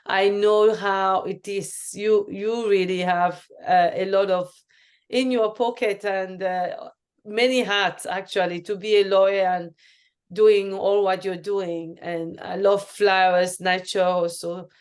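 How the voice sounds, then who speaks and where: A woman from Cameroon whose first language is French hosts a friendly interview show. Her voice is smooth and clear at a middling pitch. She gushes with praise and often stumbles and restarts mid-sentence. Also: steady tone, slow pace. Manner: warm and effusive, with frequent restarts and fillers. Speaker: show host. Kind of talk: casual interview chat.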